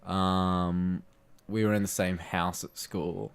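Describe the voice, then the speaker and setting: Young man from chile, co-host chatting casually, low voice, mock-grand jokes. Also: level tone, slow, creaky, clean tone. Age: young adult. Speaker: podcast co-host. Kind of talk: casual chat.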